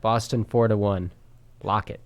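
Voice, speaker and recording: Congested nasal man, low-ish voice. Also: steady tone, a little slow, slight background noise. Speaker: podcast guest. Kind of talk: casual sports banter.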